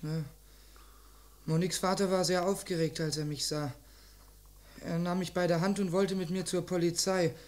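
A man's voice: high voice, flat delivery, moderate pace, pauses often, lightly acted. A young man playing an earnest, defiant teenage boy under police questioning in an old German radio crime drama, defensive and impulsive. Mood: earnest, confessing. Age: young adult. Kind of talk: mid-20th-century German radio crime drama acting.

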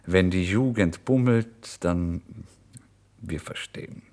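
An actor voicing a brisk, officious male police officer on night duty, clipped and emphatic, in a radio story. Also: sits low, darkish tone. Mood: indulgent.

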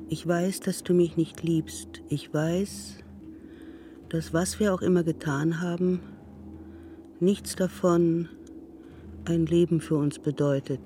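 An actress playing a stubborn, grave elderly woman with a deliberate manner in a radio drama. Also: fairly low pitch, dark tone, a little hiss or hum. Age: older.

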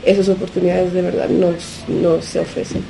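A woman from the United States, a native Spanish speaker, talking unscripted as a radio interview guest. She speaks haltingly, searching for words and slipping in English fillers. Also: on the low side, level tone, gravelly, dark tone, slight background noise, rough recording. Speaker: interview guest from a punk collective.

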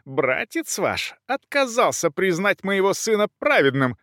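Audiobook role: male reader as irate young noblewoman, high-pitched, haughty, emphatic and scandal-making. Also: sing-song, a little slow, no room sound, studio quality, full character voice. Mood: furious shouting. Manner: indignant, shouting, emphatic. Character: young furious countess, haughty, shrill-tempered, scandal-making.

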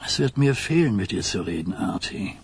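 Very raspy voice, old mob patriarch, grave and commanding, weary, radio crime drama. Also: male voice, fairly low pitch, sing-song, moderate pace, full character voice. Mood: resigned. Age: older. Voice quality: husky.

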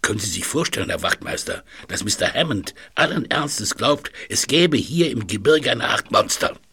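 A man in a radio play acting a gentle, eccentric animal lover, speaking softly, breathily and in formal, deliberate phrases. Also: mid-range voice, lively intonation, husky, exaggerated voice. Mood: feigned indignation.

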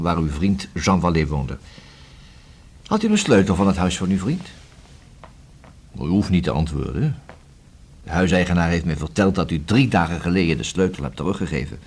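An actor in a Dutch radio play as a courteous, persistent police investigator, speaking in a formal, long-winded, deliberate way. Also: male voice, deep voice, breathy edge, heavily acted.

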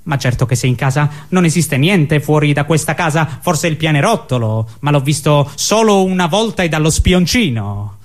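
An actor playing a lonely, muddled husband in a halting, plaintive monologue to his silent wife, in absurdist theatre style. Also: on the high side, animated, natural voice. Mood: forlorn.

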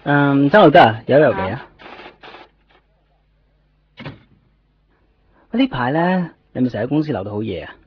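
A man's voice: mid-range voice, big pitch swings, quick pace, a little hiss or hum, close to an everyday voice. A man playing a fussy, penny-pinching office manager in a radio drama, nagging with repeated questions. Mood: brisk, probing. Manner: nagging, repetitive rhetorical questions. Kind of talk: Cantonese radio drama acting. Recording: muffled lo-fi radio recording, faint music.

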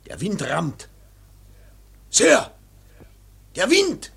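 An actor playing an excitable whaling sailor in a radio drama, crying out urgently in a hoarse, raspy voice. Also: male voice, sits high, extremely slow, heavily acted. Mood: alarmed.

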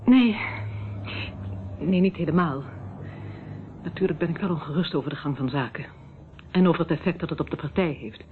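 A woman's voice: low-pitched, expressive, moderate pace, pauses often. Radio play role: courteous, uneasy lady of the house, hesitant and discreet, thin sound with faint noise. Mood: uneasy, probing. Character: polite, uneasy society hostess, discreetly probing. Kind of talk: Dutch radio drama acting. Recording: tinny, narrow old radio recording, faint noise.

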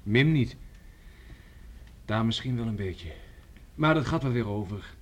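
A man's voice: medium pitch, expressive, a little slow. An actor playing a kindly, reserved young village man in a Dutch radio play, understated and reassuring. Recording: noisy old radio drama recording.

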